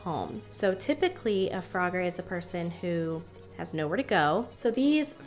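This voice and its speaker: A hoarse woman in her thirties, telling a creepy story to her viewers in a chatty, animated way. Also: on the low side, moderate pace, soft background music. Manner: chatty, animated, reacts with little laughs. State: hoarse, recovering from illness. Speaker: spooky history YouTuber. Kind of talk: casual storytelling to camera.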